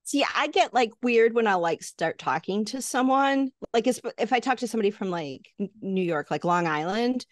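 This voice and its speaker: A woman's voice: a little high, animated, moderate pace, hardly any pauses, no room sound, studio quality. A middle-aged woman with a Chicago accent, co-hosting a casual podcast chat, chatty and full of "like" fillers. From Chicago area. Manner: chatty, laughs often, many "like" fillers. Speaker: podcast co-host.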